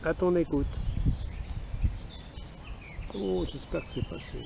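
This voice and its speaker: A man with a French accent makes a radio contact in a creaky, slightly raised voice. He talks as an amateur operator outdoors, with clear nature noise and birdsong around him. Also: fairly high pitch, slow. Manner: spells call signs in phonetic alphabet. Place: outdoors in a wooded park.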